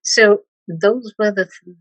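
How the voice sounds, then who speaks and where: A middle-aged woman from Malaysia, a meditation teacher speaking as an interview guest, slow and hesitant with many pauses and restarts. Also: mid-range voice, no room sound, muffled recording. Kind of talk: reflective interview talk.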